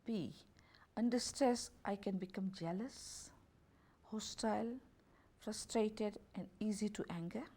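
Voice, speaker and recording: Gravelly, mellow-voiced woman reading options. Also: mid-range voice. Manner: unhurried, reads options as A, B and C. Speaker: holistic health and beauty therapist. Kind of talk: reading out a questionnaire.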